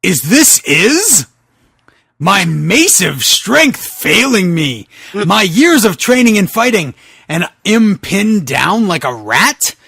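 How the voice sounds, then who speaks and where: Man acting out hulking wrestler hero, gravelly, overdramatic, slow delivery, comic fan fiction. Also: high voice, sing-song, pushed and bright, no room sound, studio quality, heavily acted. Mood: strained, desperate. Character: Boogeyman, a huge gruff, melodramatic male wrestler hero. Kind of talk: mock-dramatic fan fiction reading.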